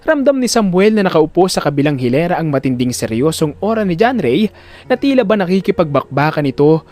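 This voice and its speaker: A man narrating a scripted drama story in an expressive, emphatic storyteller's delivery. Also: fairly high pitch, fairly quick.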